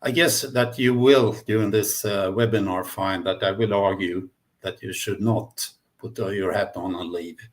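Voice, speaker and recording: A man with a Swedish accent giving a webinar presentation as guest speaker, often pausing mid-sentence. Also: lowish voice, steady tone. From Sweden. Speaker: guest speaker, management consultant. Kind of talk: webinar presentation, semi-spontaneous.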